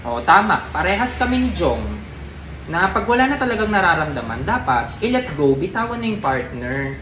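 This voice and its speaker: A man's voice: high-pitched, very animated, normal speed. Echoey, pointed man complaining. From Philippines. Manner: pointed, complaining, repeats phrases for emphasis. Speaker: prankster in a couple's vlog. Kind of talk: casual reactive chat, needling a partner. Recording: echoey room, faint background noise.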